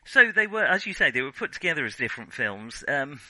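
A man's voice: on the high side, very animated, brisk, pushed and bright, no room sound, poor audio. A man co-hosting a casual film discussion, conversational and often correcting himself as he goes. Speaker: podcast co-host.

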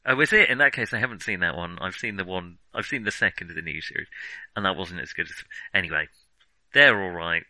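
A man's voice: deep voice, fast talker, bright, forceful tone, no echo, low-quality recording. A man chatting casually and jokily about films as a podcast co-host. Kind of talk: casual film chat.

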